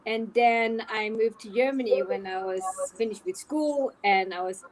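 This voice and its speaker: A woman's voice: on the high side, moderate pace, rough recording. A woman in her thirties with a Swedish accent, chatting casually as an interview guest. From Sweden. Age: 30s. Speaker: interview guest, equestrian athlete.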